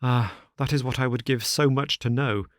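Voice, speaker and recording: A man voicing an anxious, careworn young gentleman who speaks in an urgent, distressed way. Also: mid-range voice, moderate pace, no room sound, clean studio sound, voice pushed up, natural voice.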